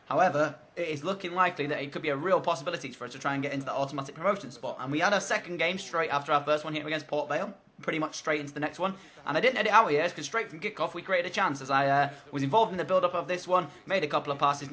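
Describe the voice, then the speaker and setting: A man narrates his football video game session in rapid, rambling play-by-play, stumbling through fillers and restarts. His voice is hoarse from illness, yet it sits a touch high and sounds bright and tense. It's a relaxed, chatty voice-over spoken straight into a desk mic. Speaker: gaming commentator.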